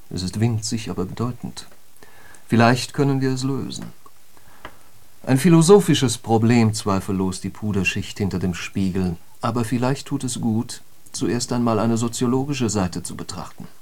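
An actor playing a courteous philosopher-lecturer in a literary radio play, politely didactic and fond of rhetorical questions. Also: male voice, on the low side, darkish tone, lightly acted. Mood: courteous, inquisitive. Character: courteous male philosopher-lecturer, eagerly dissecting riddles.

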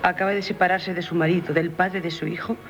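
An actress playing an earnest, reproachful young daughter with a Castilian Spanish accent in a 1970s radio drama. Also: female voice, lowish voice, fairly even intonation, fast talker, natural voice. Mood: indignant defence. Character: earnest young daughter, loyally defending her brother-in-law. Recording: dull vintage radio recording, music behind.

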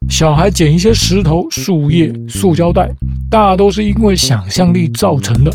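A man hosting a children's show, explaining ideas in a calm, patient, teacher-like way. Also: high voice, moderate pace, over music. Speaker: host, the show's dad figure.

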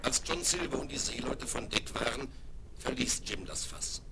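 A man narrating a children's radio play in a calm, hushed way. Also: mid-range voice, moderate pace, heavy background noise. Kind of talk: storybook narration. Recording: narration over music on old vinyl.

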